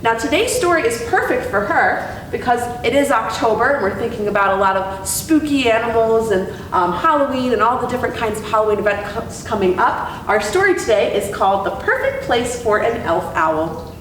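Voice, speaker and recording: A woman working as an educator, giving a talk and storytime reading for children with gentle, deliberate delivery. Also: big pitch swings. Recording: very echoey room, distant mic, faint rumble.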